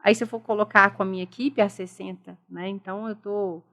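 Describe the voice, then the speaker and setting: A woman's voice: medium pitch, fairly even intonation, fast, a bit bright. A middle-aged woman with a Brazilian Portuguese accent, speaking earnestly and reflectively as a guest in a casual podcast interview. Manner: earnest, reflective, stresses respect and dialogue. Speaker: guest, school director.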